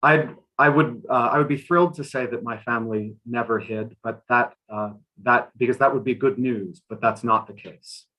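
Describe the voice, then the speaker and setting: A man working as translator and interpreter at a live talk, speaking haltingly with repeated words. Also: mid-range voice, bright, forceful tone, poor audio.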